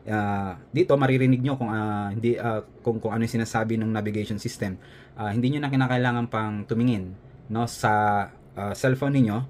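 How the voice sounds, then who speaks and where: A man's voice: on the low side, fairly even intonation, fairly quick, darkish tone. Filipino man vlogging over noticeable background noise. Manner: conversational, often adds a tag "no?" to check in. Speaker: motovlogger presenting a product. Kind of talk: casual unboxing and product review, explaining as he goes.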